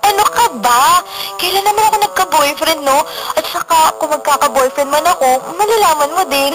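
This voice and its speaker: Woman acting as smitten filipina college girl, super high girly voice, teasing, radio drama w/ music under it. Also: brisk, natural voice, low-quality recording. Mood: playfully evasive. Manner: girlish, teasing, giggly. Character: playful, coy, smitten female college student.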